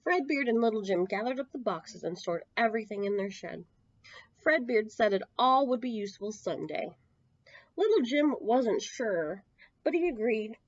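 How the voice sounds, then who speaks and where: A woman's voice: on the high side, expressive, moderate pace, dry recording. Woman reading a storybook, dry muffled recording. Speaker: children's storyteller at a public library. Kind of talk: expressive picture-book read-aloud for young children. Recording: dull lo-fi, close dry sound.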